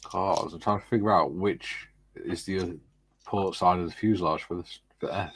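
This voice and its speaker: A man's voice: deep voice, steady tone, moderate pace, breathy edge, dry recording. A man hosting a casual live model-building stream, joking and reading viewer comments aloud. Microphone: phone.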